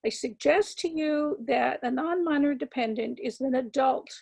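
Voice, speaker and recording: A woman with a scratchy voice giving a measured, emphatic legal lecture to attorneys, with frequent ums and words repeated for stress. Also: high-pitched, vocal fry, mellow. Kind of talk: continuing-education lecture.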